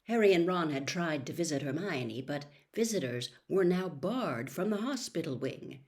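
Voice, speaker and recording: A woman narrating a storybook as an audiobook reader, with measured, deliberate and dramatic phrasing. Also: low-pitched, lively intonation, a little mellow. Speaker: audiobook reader, host of the show.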